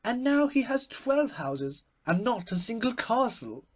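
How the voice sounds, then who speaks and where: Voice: mid-range voice, sing-song, a little slow, voice pushed up. A performer voicing a stately, complacent Duchess with a prim, emphatic manner in a storybook.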